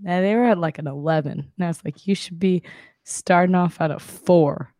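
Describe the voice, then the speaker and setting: A young woman co-hosting a podcast, chatting casually and acting out the people in her story, with a slightly raspy voice. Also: lowish voice, moderate pace, dry recording, studio quality. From Southern California. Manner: chatty, animated retelling with quoted voices and laughs. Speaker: podcast co-host.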